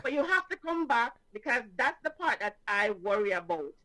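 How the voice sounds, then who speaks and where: A woman with a Jamaican accent co-hosting a sports talk show, emphatic and animated. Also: fairly high pitch, moderate pace, rough recording. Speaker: co-host.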